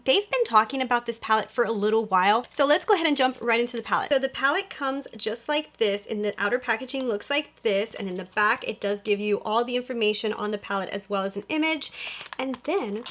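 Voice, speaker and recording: A woman's voice: a little high, animated, fairly quick, fairly bright tone. A young woman hosting an upbeat makeup review vlog, chatty and gushing, her voice a little nasal from a cold. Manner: chatty and gushing, lots of "you guys". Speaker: beauty vlogger.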